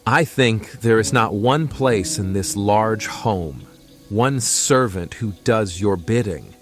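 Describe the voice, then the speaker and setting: An actor playing a calm, wise traveler from the mountains in a fantasy audio drama, with a gently solemn, philosophical manner. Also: male voice, fairly low pitch, slow, faint music behind.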